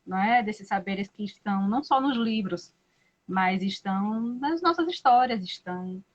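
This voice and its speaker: A Brazilian woman hosts a live-stream conversation in an even mid-range voice, speaking slowly and reflectively with frequent hesitations. Her audio comes through muffled and lo-fi. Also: mid-range voice. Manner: slow, thoughtful, frequent "né?" and hesitations. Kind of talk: casual live-stream interview.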